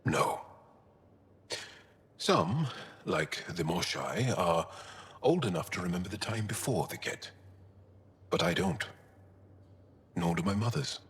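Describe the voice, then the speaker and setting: An actor playing a gentle, earnest male alien resistance fighter in a video game, speaking in a measured, solemn, heartfelt way with a deep, gravelly voice. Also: sing-song, long reverb tail, full character voice. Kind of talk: video game cutscene acting.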